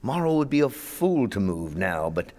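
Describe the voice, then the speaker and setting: An actor playing a stern army general in a theatrical audio drama, urgent and military in manner. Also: male voice, on the low side, big pitch swings, moderate pace. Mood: confident. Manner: forceful, military.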